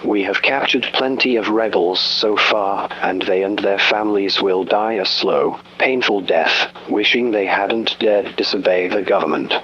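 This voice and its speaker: A man's voice: lowish voice, a little slow, hardly any pauses, a bit bright. Authoritarian state announcer, male actor, menacing and formal, hard-edged delivery, faintly noisy dull broadcast sound. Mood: cold, threatening. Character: ruthless authoritarian British regime announcer threatening citizens. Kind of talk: scripted emergency broadcast announcement. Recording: dull, noisy radio-style broadcast with hum.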